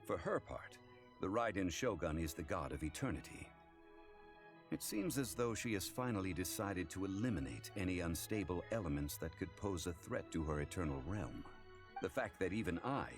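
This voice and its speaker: A wry ancient god, deep and measured. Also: male voice. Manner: measured, formal, dryly amused. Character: ancient male god in human guise, composed, wry, scholarly. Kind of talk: game voice acting.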